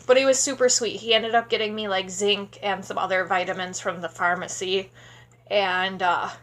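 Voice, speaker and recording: A woman's voice: mid-range voice, steady tone, moderate pace, fairly bright tone. Chatty sleepy woman in her thirties. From Wisconsin. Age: 30s. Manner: rambling, chatty, many fillers. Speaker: vlogger. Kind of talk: casual Q&A vlog. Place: small home room indoors. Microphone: tablet camera at close range.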